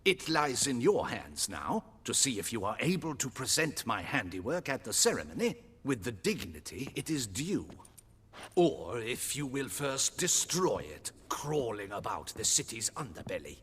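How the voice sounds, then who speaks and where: A pompous, disdainful artisan with a husky voice that rises and falls freely. He speaks formally, as a proud craftsman in a fantasy game. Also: mid-range voice, big pitch swings, moderate pace, fairly bright tone. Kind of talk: video game voice acting.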